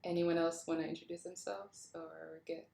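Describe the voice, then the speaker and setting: A woman's voice: sits low, level tone, moderate pace, gravelly, dark tone, a little hiss or hum. A woman hosting a table read and reading stage directions from a script. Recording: roomy, echoey sound.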